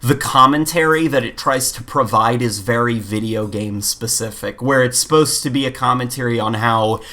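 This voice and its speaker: Male co-host for a casual gaming podcast: mid-pitched, informal, opinionated, wandering phrasing with lots of "like". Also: moderate pace, sharp tone. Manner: informal, opinionated, rambling with frequent "like".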